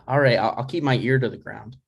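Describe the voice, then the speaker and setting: A deadpan grown son, played by a man in a comedy table read, dry and skeptical. He talks conversationally in a mid-range voice, with small hesitations. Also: mid-range voice, normal speed, vocal fry, husky. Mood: dry, teasing.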